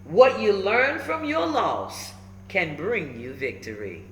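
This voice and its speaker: A woman reading a children's book aloud, emphatic and instructive, pausing between short phrases and adding encouraging asides. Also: mid-range voice, huge pitch swings. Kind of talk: animated storybook read-aloud with encouraging asides. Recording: echoey room with faint background noise.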